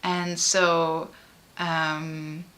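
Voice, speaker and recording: Bright-voiced woman, halting and emotional. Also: deep voice, flat delivery. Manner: halting and emotional, frequent fillers and restarts. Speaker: artist and musician. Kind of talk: personal interview.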